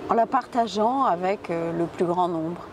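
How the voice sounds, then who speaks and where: A middle-aged woman from Tunisia, answering interview questions reflectively with pauses and restarts, on an outdoor café terrace with a lapel mic. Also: fairly low pitch, noisy background. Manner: reflective, with frequent hesitations and restarts. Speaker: theatre director and physicist.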